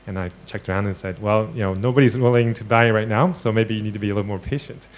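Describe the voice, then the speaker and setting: A man's voice: fairly low pitch, fast. A man born in China and raised in the US, a tech founder speaking in a business interview, with frequent fillers and restarts. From born in China, grew up in the US. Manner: hesitant, many fillers and restarts.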